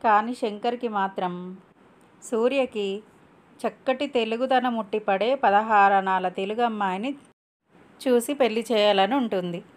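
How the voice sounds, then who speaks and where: A female storyteller reads a scripted moral tale. Her voice sits at an ordinary middle pitch for a woman. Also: unhurried, pauses often.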